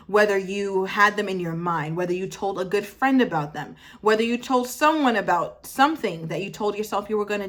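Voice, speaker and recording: A young woman in her twenties talks straight to her audience in a casual motivational style. Her voice sits at an everyday middle pitch, and she hammers her points home with repetition. She stops now and then to fix a word mid-sentence. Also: fairly bright tone. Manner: emphatic, repeats phrases for effect, self-correcting. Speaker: motivational vlogger. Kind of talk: casual motivational talk to camera.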